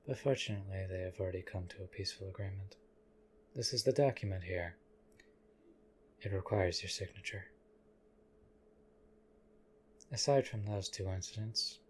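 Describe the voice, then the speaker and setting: Man playing a deep, flat-voiced stoic knight. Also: slight background noise. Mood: composed, dutiful. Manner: deadpan, formal, measured, archaic courtly phrasing. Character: stoic, loyal male knight, formal and devoted. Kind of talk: scripted audio roleplay addressed to the listener.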